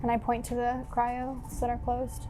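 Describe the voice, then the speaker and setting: A woman with a fairly high voice plays a tabletop roleplaying game. She talks casually, in and out of character, over faint music. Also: monotone, measured pace.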